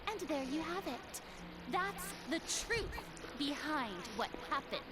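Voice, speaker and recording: A woman's voice: high-pitched, expressive, takes it slowly. A woman playing a haughty, dramatic young lady prosecutor in a video game, speaking in a smug, theatrical way. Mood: smug, accusing.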